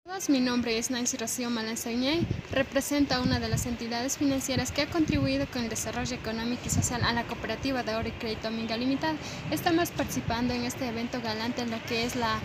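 Fast-talking woman, formal invitation. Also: on the high side, steady tone. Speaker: pageant candidate. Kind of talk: prepared on-camera invitation. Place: outdoor courtyard. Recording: loud outdoor noise.

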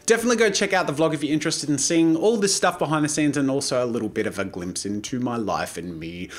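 A man, a YouTuber and animator delivering a quick, enthusiastic announcement vlog from a small home studio, talking straight into the camera. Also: mid-range voice, animated. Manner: upbeat and enthusiastic, rapid-fire delivery.

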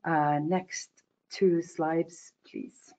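A woman from Finland giving a webinar presentation over a video call, pausing often with fillers. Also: low voice, steady tone, mellow. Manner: hesitant, many uh fillers. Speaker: climate manager presenting. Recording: dull lo-fi call audio.

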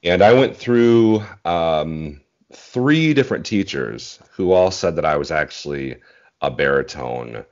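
A man in his forties with a very deep bass voice, speaking modestly and a little haltingly in a casual interview over a video call. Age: 40s. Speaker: interview guest, bass singer. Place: small indoor room at home. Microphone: earbud headset on video call. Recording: dull, muffled video-call audio.

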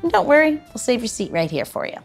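A woman's voice: fairly high pitch, sing-song, fast, soft background music. A woman hosting a podcast, speaking in a casual, conversational interview style. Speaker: podcast host.

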